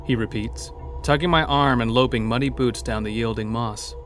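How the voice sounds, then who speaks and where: A man's voice: medium pitch, steady tone, normal speed, fairly bright tone. A man narrating a scripted first-person horror story in a dramatic storytelling manner. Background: background music.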